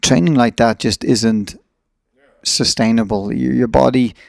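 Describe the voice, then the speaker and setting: Gravelly middle-aged man, reflective and blunt. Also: medium pitch, steady tone, unhurried, no room sound, studio quality. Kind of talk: casual conversational reflection.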